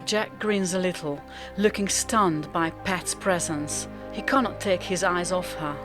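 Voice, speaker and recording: Slow, low-voiced woman narrating radio drama. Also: music in the background.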